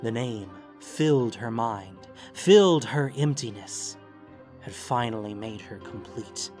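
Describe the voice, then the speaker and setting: A man's voice: medium pitch, lively intonation, slow, a little mellow. A man giving a solemn, theatrical narration of a dramatic fantasy story. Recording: music under the voice. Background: music.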